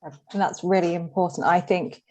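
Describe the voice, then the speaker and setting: Low-voiced woman chairing a meeting. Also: steady tone, normal speed, a little mellow. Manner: fluent, frequent hedging with "sort of". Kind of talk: chairing an online council meeting.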